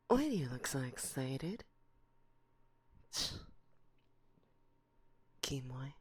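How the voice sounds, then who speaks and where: A woman's voice: extremely low pitch, a little mellow, slightly rough, dry recording, full character voice. A woman playing a teasing, domineering forest witch in an ASMR roleplay, speaking breathily and close to a binaural microphone, slipping into whispers. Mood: mock-stern, teasing. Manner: teasing, condescending, slow and deliberate, often slipping into whispers.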